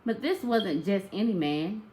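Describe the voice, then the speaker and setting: Female storyteller for a children's read-aloud, medium pitch, expressive and emphatic teacher-style narration. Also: a little slow, low-quality recording.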